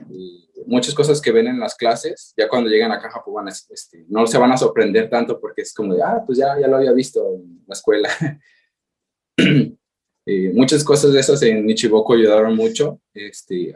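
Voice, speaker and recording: A man's voice: fairly low pitch, darkish tone. A Mexican man in his thirties with a Mexican accent, giving a webinar talk over a video call, hesitant with many fillers. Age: 30s. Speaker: guest presenter. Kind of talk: webinar presentation. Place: home, working remotely in Japan.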